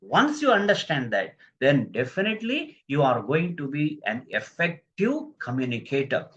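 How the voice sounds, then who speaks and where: A man's voice: a little high, big pitch swings, moderate pace, a bit bright, slight background noise. A man giving a live online lecture on communication skills, stressing key phrases, repeating himself and putting questions to his viewers. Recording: dull lo-fi recording.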